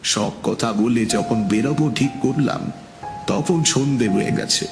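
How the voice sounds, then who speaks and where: A man narrating a scripted first-person story in a measured, dramatic storytelling manner. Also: mid-range voice, over music.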